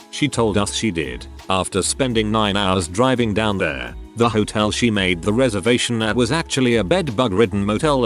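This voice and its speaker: Low-voiced man reading stories aloud. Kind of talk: scripted narration of reader stories. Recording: faint music bed.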